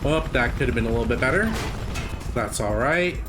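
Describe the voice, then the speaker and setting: A man's voice: medium pitch, animated, fairly quick. A man giving live speedrun commentary in a casual, self-critical way as he plays. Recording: commentary over loud game music. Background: game music.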